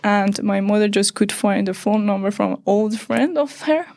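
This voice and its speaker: A woman in her thirties from Iran, a native Persian speaker, talking in a hesitant, halting way with many fillers as an interview guest. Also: medium pitch, gravelly, darkish tone, dry recording, clean studio sound. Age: 30s. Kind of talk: casual interview conversation.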